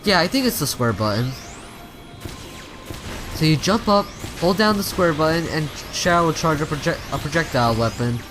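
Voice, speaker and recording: A man's voice: a little high, lively intonation, moderate pace, music in the background. A man giving casual let's play commentary, rambling and often stumbling and rephrasing as he goes. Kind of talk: casual video game commentary.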